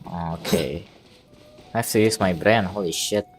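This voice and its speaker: Low, slow-talking female game streamer. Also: soft background music. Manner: halting, repeats words while thinking, mild swearing. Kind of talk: casual live gameplay commentary.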